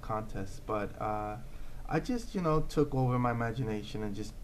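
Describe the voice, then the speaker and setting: A man's voice: lowish voice, moderate pace, a little hiss or hum. A man in his thirties from Norway, answering interview questions in an earnest, hesitant way with many fillers and restarts. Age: 30s. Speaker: interview guest, comic book creator.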